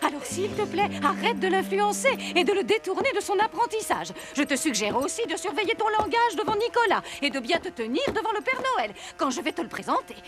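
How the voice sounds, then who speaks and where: A woman's voice: very high voice, very animated, brisk, hardly any pauses. A woman voicing a prim, strict, easily vexed younger sister with a formal scolding manner in a children's cartoon. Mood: stern. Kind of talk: animated children's cartoon voice acting. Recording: music behind the voice.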